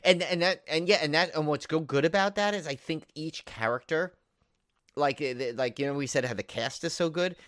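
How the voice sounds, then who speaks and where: A man's voice: fairly high pitch, brisk, fairly bright tone, dry recording, studio quality. A man co-hosting a podcast in casual film-review chat, rambling and repeating himself with enthusiastic emphasis. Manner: rambling, repeats himself, piles up "like" and "you know". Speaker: podcast co-host.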